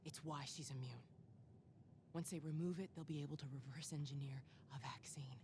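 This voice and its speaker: A woman acting as a weary female resistance leader in a cinematic game, grave and firm. She explains things in measured, placating tones over clear noise and a low rumble. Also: fairly even intonation, a little mellow, lightly acted. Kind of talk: cinematic video game dialogue. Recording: noisy game audio, low rumble behind.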